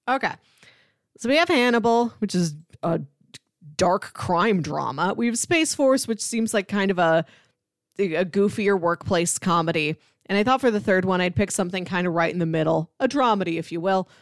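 A woman chatting casually as a podcast co-host, pitching shows to her partner. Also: expressive, normal speed, no echo, clean studio sound.

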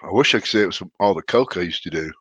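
A man's voice: low voice, animated, racing, fairly bright tone, slightly rough, no echo. A congested, stuffed-up man chatting casually on a podcast as co-host, rambling and self-mocking with many restarts.

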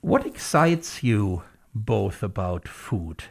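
A man hosting an interview podcast, reading a prepared introduction in a measured way before questioning his guests. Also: lowish voice, sing-song, gravelly. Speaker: podcast host.

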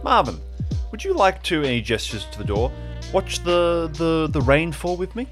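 A man acts a stubborn, easily riled gentleman in an improvised audio drama. His courtesy is stiff and his delivery theatrical and emphatic. The pitch moves widely, over a music bed. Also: very animated, normal speed. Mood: strained politeness. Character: Ernest, indignant, stubborn man forcing polite small talk.

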